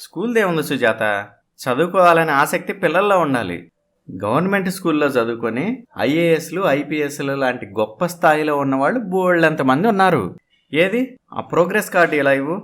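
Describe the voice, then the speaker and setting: A man playing a mild middle-aged father who talks in a measured, reasoning way in an animated moral story. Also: fairly high pitch.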